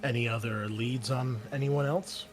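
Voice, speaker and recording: A man voicing a guarded, measured woman officer who talks in hesitant, halting phrases, in a tabletop game. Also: medium pitch, steady tone, soft background music, close to an everyday voice.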